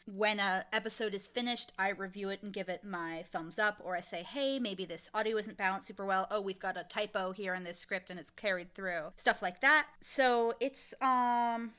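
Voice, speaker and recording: A woman being interviewed, talking in a casual, informal and animated way about her work. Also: medium pitch, moderate pace, few pauses, smooth. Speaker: interviewee, audio drama showrunner and voice actor.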